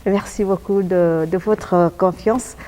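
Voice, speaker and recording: Mellow-voiced woman hosting an interview. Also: mid-range voice, fairly even intonation, slow pace. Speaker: TV host interviewing a guest. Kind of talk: polite religious interview.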